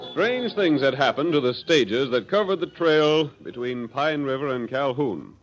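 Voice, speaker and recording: A man's voice: mid-range voice, lively intonation, unhurried, dull audio. A man with an American accent narrating a scripted 1930s radio western in a dramatic, declamatory manner. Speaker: announcer, narrator. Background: music.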